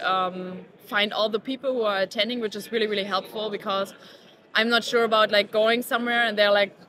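A woman in her thirties speaks as a podcast guest and co-founder of a startup. She talks haltingly, with many fillers and restarts. She is recorded indoors at a busy conference venue, with the chatter of the crowd clearly heard behind her. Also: medium pitch. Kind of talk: casual interview answers.

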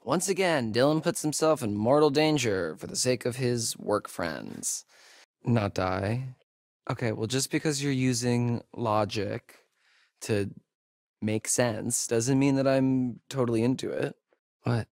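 An actor playing a sarcastic young American camp counselor in a horror video game, hesitant and conversational in delivery. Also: male voice, mid-range voice, a little slow, gravelly, darkish tone, no room sound, clean studio sound. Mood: sardonic, then nervous. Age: young adult. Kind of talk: naturalistic horror game dialogue.

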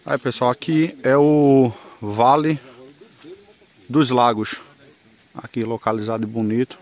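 A man's voice: mid-range voice, fairly even intonation, a little slow, a little mellow. A man giving a casual, rambling walking tour of a rural campsite, filmed on a handheld camera outdoors. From Northeast Brazil. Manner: chatty, pointing things out, loose and rambling. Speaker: moto travel vlogger. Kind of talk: casual vlog tour narration.

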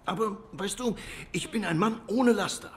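A man's voice: sits high, moderate pace, fairly bright tone, soft background music. An actor playing a bored, self-righteous dog policeman who rambles insistently in a noir video game. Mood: defensive, animated.

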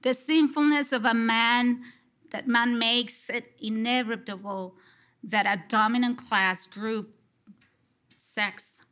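A middle-aged woman from Colombia with a Colombian Spanish accent, giving an expressive conference response from a lectern on a large stage, speaking into a headset mic. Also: mid-range voice. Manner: expressive, gesturing, slow and deliberate. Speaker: lecture respondent, psychology professor.

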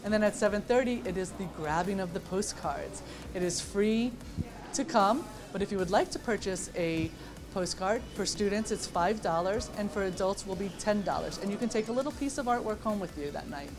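A woman's voice: medium pitch, animated, fairly quick. A woman in her forties, an art teacher who grew up in New York City with Estonian as her first language, talking in a friendly, chatty way in a classroom. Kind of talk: interview, casual talk to camera.

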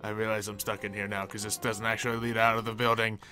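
A man's voice: on the low side, level tone, fast talker, over music. A man hosting a game video with playful improvised roleplay, in a jokey, rambling way with mumbled asides.

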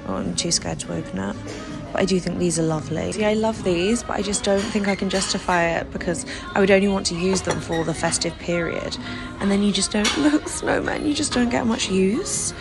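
A woman's voice: medium pitch, brisk, gravelly. A young woman chatting to her camera as she shops, gushing over what she sees. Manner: gushing, chatty, talking to camera while walking. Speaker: vlogger. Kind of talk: casual shopping vlog chat. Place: busy city street and shops, outdoors and indoors. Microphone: handheld camera at arm's length. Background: music.

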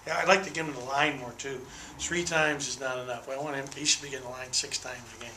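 An older man coaching a college team speaks into a table mic with a bright, forceful tone. His answers are candid and detailed, and he doubles back on words as he restarts. The small press room leaves a roomy echo around him. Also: medium pitch, steady tone, fast, a little hiss or hum. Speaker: basketball head coach. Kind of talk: postgame press conference answers. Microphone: table microphone on a desk.

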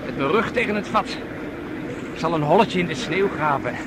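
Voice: medium pitch, a little fast, lightly acted. An actor playing an eager, daydreaming young boy beachcomber in a 1960s radio drama. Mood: dreamy, greedy. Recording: old radio drama with loud wind noise.

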